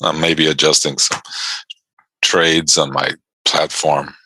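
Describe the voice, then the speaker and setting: Slow, deep-voiced man chatting on stream. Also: flat delivery, sharp tone, husky, no echo, rough recording. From Chicago North Side. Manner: laid-back, laughs often, reads and answers chat. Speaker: trading stream host. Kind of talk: casual live-stream chat with viewers. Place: quiet home room.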